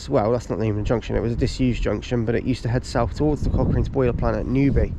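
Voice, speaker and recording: A man's voice: medium pitch, steady tone, fast talker. Stuffy-nosed man vlogging outdoors. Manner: chatty, lots of ums and restarts. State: stuffy from a cold. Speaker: railway vlogger. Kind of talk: casual vlog narration. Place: outdoors on station platforms. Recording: outdoor noise on camera mic.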